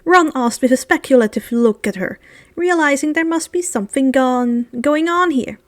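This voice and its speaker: A woman narrating fiction aloud in an expressive, informal storybook style. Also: high voice, normal speed, no echo, clean studio sound. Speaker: fan fiction reader.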